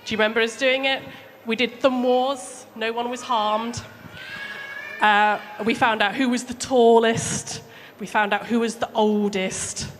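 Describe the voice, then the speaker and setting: A woman with a fairly high voice tells a story to children. She speaks simply and chattily, involving her listeners as she goes. The sound is reverberant, as in a large hall, with faint background noise. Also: steady tone, measured pace. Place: church hall during a service.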